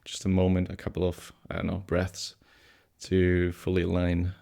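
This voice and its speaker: Young guy hosting a podcast, deep gravelly voice, soft-spoken and a bit hesitant. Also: completely flat delivery, normal speed. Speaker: podcast host, coach and psychologist. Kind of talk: casual podcast conversation.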